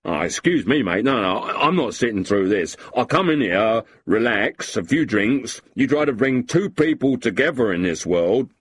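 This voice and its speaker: A man voicing a boozy, sentimental lowlife with a husky voice and a blustering, self-pitying way of talking. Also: animated, normal speed, hardly any pauses, a little hiss or hum, close to an everyday voice. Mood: aggrieved.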